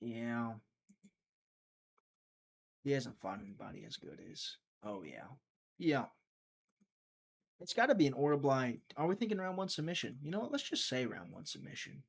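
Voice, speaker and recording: A man hosting a live prediction stream, chatting casually, thinking out loud and talking to his viewers, sometimes trailing off into a mutter. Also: mid-range voice, very animated, moderate pace, creaky, pauses often, breathy edge. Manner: chatty, thinks aloud, talks to chat.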